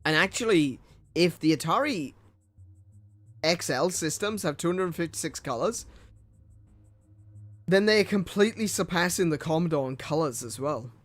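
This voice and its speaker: A man's voice: fairly high pitch, expressive, normal speed, long pauses, fairly bright tone, no echo, faint music behind. A young man and gaming streamer reading old computer ads aloud with his own commentary, tripping over words and laughing at himself.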